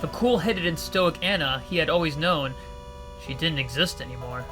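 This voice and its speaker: A man narrating a visual novel story in a steady, expressive reading. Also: a little high, moderate pace. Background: faint music.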